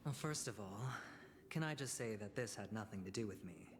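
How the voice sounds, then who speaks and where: A man playing a sly, smooth-talking schemer in a video game, deliberate and insinuating in manner. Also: on the low side, animated, lightly acted. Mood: breezily defensive. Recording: game audio with music underneath.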